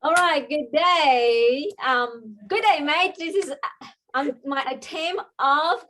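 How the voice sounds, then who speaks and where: A woman's voice: high voice, slow pace, sharp tone, a little hiss or hum, rough recording. A woman from China with a Chinese accent, a native Chinese speaker, telling her own story as an interview guest. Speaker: guest, mindset coach.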